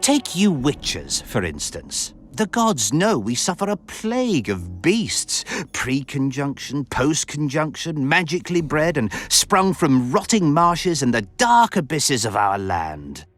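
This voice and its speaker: A man's voice: on the high side, sing-song, measured pace, few pauses, fairly bright tone, exaggerated voice. Flamboyant, swooping nobleman. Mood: disdainful, lamenting. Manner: theatrical, florid, pompous phrasing. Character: flamboyant, verbose, refined nobleman count, lecherous and sardonic. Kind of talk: video game cutscene voice acting. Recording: music behind the voice.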